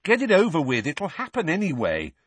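A man's voice: medium pitch, wildly swooping pitch, moderate pace, slightly rough, no room sound, voice pushed up. A man voicing an insistent, defiant young boy who presses urgently, in an audiobook story. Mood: urging.

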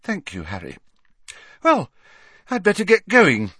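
A man's voice: high voice, huge pitch swings, unhurried, pushed and bright, husky, no echo, clean studio sound, pitched above the performer's own voice, full character voice. A man voicing an upset, curt teenage schoolgirl in an audiobook, clipped and strained. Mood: choked, upset. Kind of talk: audiobook character voice.

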